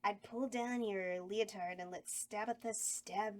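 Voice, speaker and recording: A woman's voice: mid-range voice, fairly even intonation, unhurried, a little hiss or hum, close to an everyday voice. A woman playing a brash bawdy horror hostess. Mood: flirtatious. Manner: brash, bawdy, giggles through lines. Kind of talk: table read of a horror comedy.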